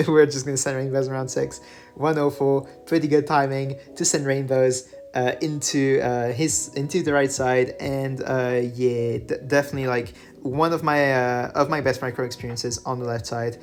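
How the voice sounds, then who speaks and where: A man whose first language is French, talking through his game replays in a relaxed voice-over full of fillers and self-corrections. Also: mid-range voice, normal speed. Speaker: gaming channel host. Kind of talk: gameplay commentary voice-over. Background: faint background music.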